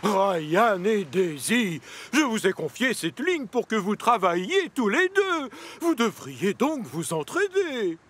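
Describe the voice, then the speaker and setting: A man's voice: high-pitched, sing-song, slow, hardly any pauses, fairly bright tone, a little hiss or hum, full character voice. A man voicing a stern, fatherly, middle-aged railway controller with authoritative manner in a children's cartoon. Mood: disappointed, firm. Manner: authoritative, admonishing.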